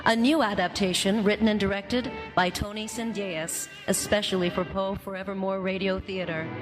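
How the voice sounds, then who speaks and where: A woman's voice: medium pitch, steady tone, moderate pace. A woman announcer reading a scripted radio theater announcement in a formal, dramatic way. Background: loud music.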